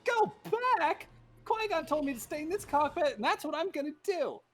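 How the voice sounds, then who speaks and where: Woman doing a very high-pitched, excitable little boy pilot. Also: moderate pace, a little hiss or hum. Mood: cocky. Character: excitable young boy starfighter pilot, naive and cocky. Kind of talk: amateur comedic script read-through.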